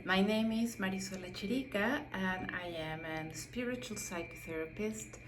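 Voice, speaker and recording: A woman's voice: mid-range voice, moderate pace, background noise. A middle-aged Mexican woman, a native Spanish speaker with a Mexican accent, talking about herself as a psychotherapist in a hesitant, reflective way with many fillers and restarts. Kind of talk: self-introduction monologue.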